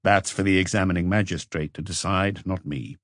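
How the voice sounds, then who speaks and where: A calm police inspector from an audiobook, acted by a man. He questions in a measured, probing way with few words. The voice is fairly low and recorded very dry. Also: no room sound.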